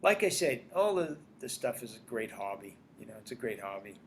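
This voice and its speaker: An older man raised in Australia, a screenwriter and producer chatting casually as an interview guest, animated and repeating his phrases. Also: medium pitch, a little fast, slight background noise.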